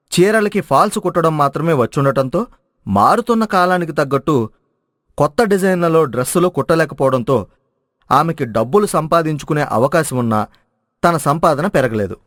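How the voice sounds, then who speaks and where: A man's voice: on the high side, lively intonation, moderate pace, fairly bright tone, no room sound, studio quality. A man narrating a scripted moral story.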